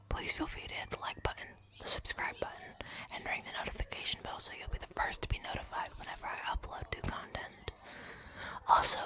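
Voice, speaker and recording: Guy whispering real soft, asmr youtuber outro type delivery. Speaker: video creator signing off.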